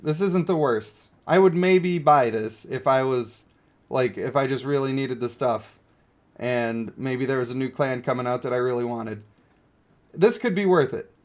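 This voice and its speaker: A man's voice: mid-range voice, unhurried, darkish tone. A man giving casual, opinionated livestream commentary on a mobile game, blunt and given to exasperated ranting. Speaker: game streamer.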